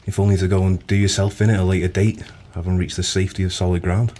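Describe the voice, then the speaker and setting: Deep, mellow, weary publican. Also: male voice, flat delivery, fast. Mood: wry, consoling. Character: gruff, weary, kindly publican, plain-spoken. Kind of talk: audio drama acting.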